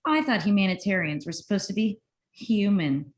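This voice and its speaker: A sharp-tongued woman reporter, played by a woman at a table read. Her delivery is sardonic, with lively swings in a mid-range voice. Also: medium pitch, natural voice. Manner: sardonic, quick-witted. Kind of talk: amateur table read of a film script.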